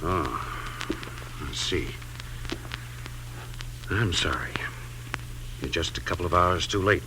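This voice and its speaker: An actor playing a hearty, kindly American frontier sheriff in a 1950s radio western, with a folksy, expressive delivery. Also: male voice, deep voice, takes it slowly, exaggerated voice. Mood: awkward, sorry. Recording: slightly noisy 1950s radio recording.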